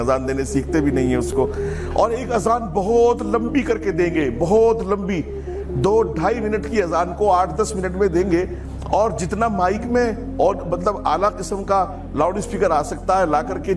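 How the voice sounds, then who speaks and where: Man, high voice, cleric, critical debate talk, emphatic repetition, music in the background.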